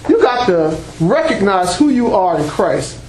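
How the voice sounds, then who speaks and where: A preacher with a strikingly high voice teaches a congregation without a microphone, loud and emphatic. He punctuates his points with call-and-response exclamations of praise. His unamplified voice rings around an echoey church room, with faint background hiss. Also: big pitch swings, a little slow. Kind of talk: sermon-style teaching to a congregation. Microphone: unamplified voice picked up by a room mic.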